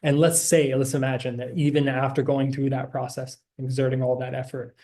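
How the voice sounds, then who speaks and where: A man's voice: medium pitch, level tone, fairly quick, warm tone. A man giving a casual slideshow presentation about a study program, talking with many fillers and restarts.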